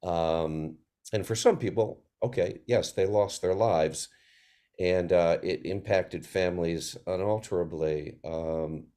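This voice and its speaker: A man with a lowish voice reflects in a panel discussion on a video call. He speaks very slowly and haltingly, thinking aloud and posing rhetorical questions. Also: dark tone. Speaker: theater panelist.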